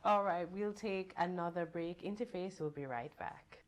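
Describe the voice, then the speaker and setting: A woman hosting a TV studio interview, introducing her guest and giving short, measured prompts. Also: fairly low pitch. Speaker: TV interview host. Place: TV news studio.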